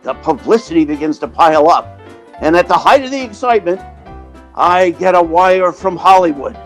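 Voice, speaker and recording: A man playing a fast-talking, scheming theatrical agent who narrates an old-time radio play in a chatty hustler's manner. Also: sits high, lively intonation, faint music behind. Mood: excited, boastful. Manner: slick hustler, chatty storyteller.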